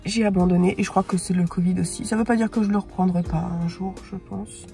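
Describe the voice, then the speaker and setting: Gravelly-voiced woman with a head cold. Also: mid-range voice, steady tone, dark tone, soft background music. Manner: halting, with frequent hesitations. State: congested with a sore throat. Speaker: book vlogger. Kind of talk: casual reading-journal chat. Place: parked car.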